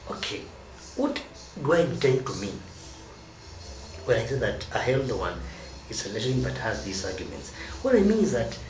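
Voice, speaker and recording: A man's voice: mid-range voice, very animated, normal speed, long pauses, a little mellow. A Kenyan man giving marriage advice as a teaching talk, stressing key words and drawing them out. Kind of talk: teaching monologue. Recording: roomy echo from a distance, noisy.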